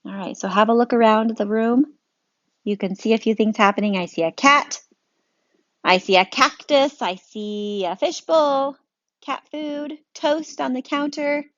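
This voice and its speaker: A woman's voice: fairly high pitch, measured pace, no room sound. A woman reading a picture book aloud as a teacher, engaging listeners with questions. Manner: lively, engaging, asks questions. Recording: dry, dull lo-fi sound.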